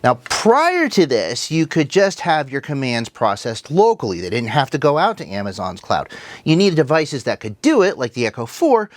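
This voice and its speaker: A man's voice: mid-range voice, huge pitch swings, moderate pace, dry recording. A man giving a casual, conversational product review to viewers, explaining things plainly as he goes. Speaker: tech reviewer, video host.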